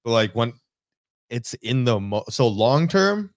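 A man's voice: medium pitch, sing-song, slow pace, no room sound, studio quality. A man co-hosting a casual podcast, laid-back and slangy, often trailing off mid-thought. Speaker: podcast co-host. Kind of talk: casual chat.

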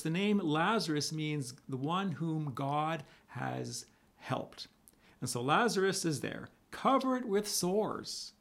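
A man with a raspy voice, casually teaching a gospel passage as a pastor, with chuckling asides. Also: on the high side.

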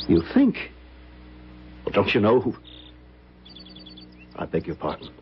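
An actor playing a haunted, nervous gentleman in an old-time radio drama, fraught and dramatic as he talks and tells his tale. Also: on the low side, huge pitch swings, slow pace, a little hiss or hum, heavily acted. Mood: fascinated, eager. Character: haunted, nervous gentleman recalling terror of decades past. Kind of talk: old-time radio drama acting, first-person narration. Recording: dull vintage radio recording, loud music behind.

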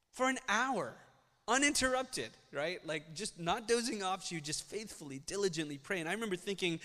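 A man's voice: a little high, pushed and bright. Earnest young male pastor in reverberant church. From United States. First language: Malayalam. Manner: earnest, conversational, builds up energy while preaching. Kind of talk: sermon. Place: church sanctuary.

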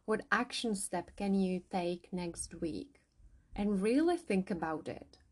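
A woman whose first language is not English, a hypnotherapist and coach, calmly guiding listeners through a journaling exercise. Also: mid-range voice, slow pace. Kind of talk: guided journaling podcast.

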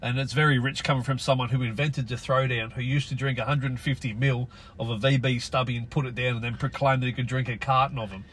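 A man's voice: mid-range voice, steady tone, fast talker, fairly bright tone, a little hiss or hum. An Australian man as a podcast guest, talking bluntly with a dry, sardonic humor. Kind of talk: casual conversation.

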